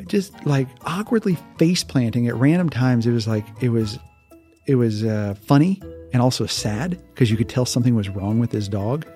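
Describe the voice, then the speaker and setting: A man from Hawaii, a podcast guest telling relaxed, casual stories and laughing easily. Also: mid-range voice. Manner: relaxed, laughs often, restarts words. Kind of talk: casual interview storytelling.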